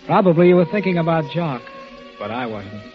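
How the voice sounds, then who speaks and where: A man's voice: on the high side, expressive, fairly quick, close to an everyday voice. An actor playing a devoted, lovesick man in a 1940s radio drama, narrating in an earnest, reflective first person. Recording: dull old radio recording with music.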